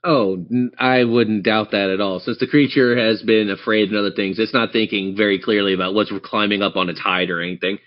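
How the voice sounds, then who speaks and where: A man's voice: lowish voice, fairly even intonation, brisk, few pauses, muffled recording. A man running a tabletop game as game master, narrating the action and chatting encouragingly with players.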